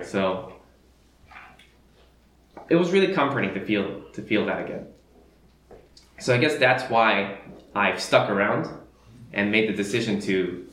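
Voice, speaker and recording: A man's voice: fairly low pitch, a bit bright. A man sharing his personal story of joining his church, speaking modestly and a little hesitantly. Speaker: church member sharing testimony. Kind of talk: personal testimony. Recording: roomy hall with faint background noise.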